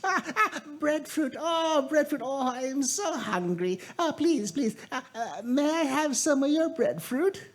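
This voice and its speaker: A man voicing a hungry, ragged old woman who is a goddess in disguise, pleading in a high wail. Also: animated, unhurried, hardly any pauses.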